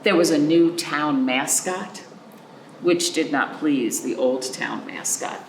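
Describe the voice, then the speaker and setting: A woman hosting a children's storytime, reading a picture book aloud expressively with dramatic pauses and stretched words. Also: low-pitched. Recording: roomy echo, faint background noise.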